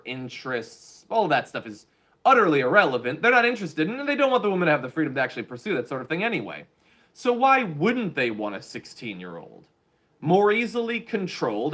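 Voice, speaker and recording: A male show host delivering opinionated news commentary in a blunt, sarcastic way. His voice sits at an ordinary middle pitch for a man. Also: a bit bright.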